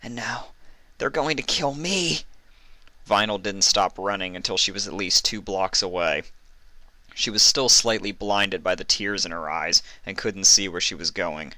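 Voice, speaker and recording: A man's voice: low voice, steady tone, moderate pace, bright, forceful tone, dry recording. A man reading fan fiction aloud as dramatic scripted story narration.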